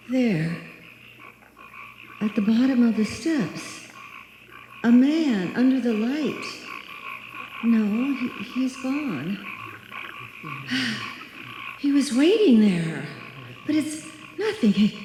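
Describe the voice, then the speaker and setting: A woman playing a frightened woman alone at night, talking haltingly to herself in a live radio suspense play. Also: a little high, sing-song, warm tone, exaggerated voice. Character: frightened woman walking home alone at night, self-reassuring. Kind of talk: live old-time radio suspense acting. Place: live stage performance in a hall. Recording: echoey hall, long reverb, faint noise.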